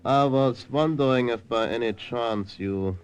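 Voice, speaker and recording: A man acting a polite but sinister agent in an old radio thriller. He speaks at a medium pitch, formal, probing and insinuating. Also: measured pace, lightly acted. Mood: suavely probing.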